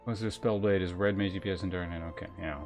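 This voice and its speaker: A man livestreaming a video game, chatting casually and reading aloud, thinking out loud in a rambling way with wry asides. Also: low-pitched, fairly even intonation, fast, muffled recording. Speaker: game streamer. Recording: music behind the voice.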